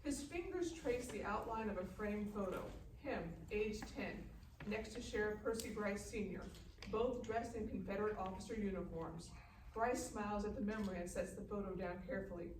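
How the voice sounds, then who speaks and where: A woman's voice: mid-range voice, moderate pace. A woman reading scripted screenplay stage directions at a live table read. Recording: echoey, distant room mic with clear noise.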